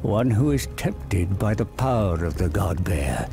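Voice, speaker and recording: An actor playing a weary, scheming old scholar in a game cinematic, grave, theatrical and deliberate. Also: male voice, sits low, big pitch swings, gravelly, music in the background, full character voice. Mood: brooding, scheming. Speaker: narrator and advisor character. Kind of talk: dramatic game cinematic narration.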